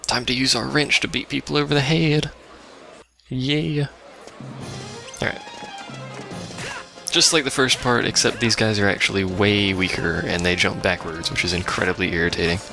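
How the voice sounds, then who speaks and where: A man's voice: on the low side, fairly even intonation, normal speed, creaky, long pauses. A man doing casual let's play commentary, full of wry, sarcastic asides. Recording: game music behind the voice.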